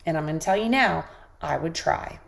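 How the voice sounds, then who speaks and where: A woman hosting a podcast, giving an encouraging motivational talk while sick with a squeaky voice. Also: lively intonation, brisk, creaky. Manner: encouraging, coaching listeners directly. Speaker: podcast host.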